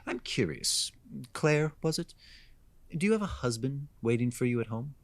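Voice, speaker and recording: A man's voice: mid-range voice, expressive, normal speed, no room sound, lightly acted. A man playing a condescending, sexist wealthy homeowner with a haughty, insinuating manner in an audio drama. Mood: prying, condescending. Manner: hesitant fillers, haughty, insinuating.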